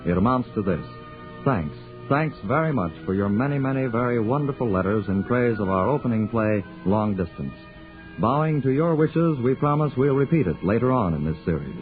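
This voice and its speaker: A man announcing formally as a network radio announcer, in a polished, measured manner. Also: medium pitch, expressive. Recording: dull 1940s radio broadcast with music.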